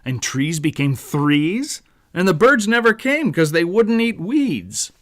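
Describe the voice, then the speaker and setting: A man narrating a rhyming children's story as its author, in a dramatic, deliberate storyteller's manner. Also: high-pitched, sing-song, fairly bright tone. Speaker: author reading own book.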